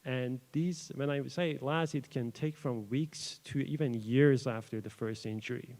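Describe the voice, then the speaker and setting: A young man from Iran whose first language is Persian, a doctoral researcher giving an informal academic talk to a live audience in an event room, pausing often with fillers and restarts. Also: medium pitch, steady tone, darkish tone. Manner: hesitant, frequent fillers and restarts. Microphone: microphone adjusted at the podium.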